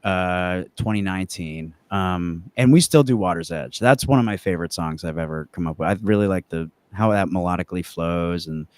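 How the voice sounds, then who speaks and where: A young man with a fairly low voice, recorded dry and close. He talks as a musician being interviewed, casual and self-effacing, wandering through his recollections. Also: normal speed, few pauses, darkish tone, dry recording. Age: young adult. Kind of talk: casual chat, reminiscing.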